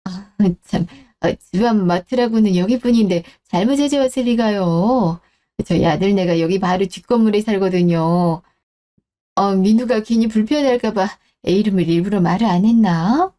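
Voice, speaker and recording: A woman's voice: mid-range voice, moderate pace, dark tone, natural voice. A woman playing an elderly, doting mother and grandmother in a radio drama, apologetic, sighing and rambling as she talks. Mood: puzzled, polite. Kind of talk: Korean radio-drama story acting.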